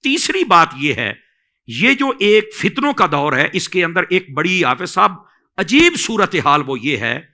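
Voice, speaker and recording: A man with a Pakistani accent and a forceful, sharply bright voice on the high side. His pitch moves animatedly as he gives religious guidance, exhorting and repeating key phrases. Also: big pitch swings, unhurried. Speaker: Islamic scholar answering questions. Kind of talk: religious question-and-answer, admonishing.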